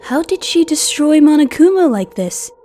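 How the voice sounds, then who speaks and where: Timid little teen, female actor: high-pitched, mellow, meek, hesitant, anxious anime dub delivery. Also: measured pace, slight background noise. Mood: nervous, puzzled. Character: timid, anxious, gentle small teenager. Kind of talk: anime-style fan dub acting.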